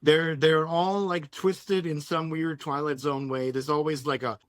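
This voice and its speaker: A man's voice: a little high, fairly even intonation, measured pace, no echo, clean studio sound. A man from Denmark, a native Danish speaker, talking casually in English as an author being interviewed. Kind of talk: casual conversation.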